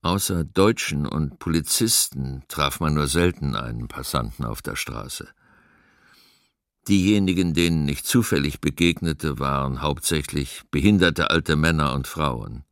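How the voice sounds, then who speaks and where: An actor playing a somber survivor giving deliberate testimony about a starving city in a documentary radio play. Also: male voice, rumbling low, lively intonation, no echo, studio quality, heavily acted.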